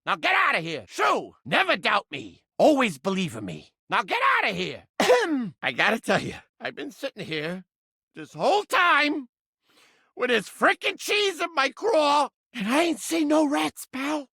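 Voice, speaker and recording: A man's voice: extremely high pitch, huge pitch swings, measured pace, gravelly, bright, forceful tone, slightly rough, dry recording, studio quality, heavily acted. An actor playing a cranky, manic living mousetrap in a video game, with blustering, streetwise wise-guy talk. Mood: gruff, irritated. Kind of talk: animated video game character acting.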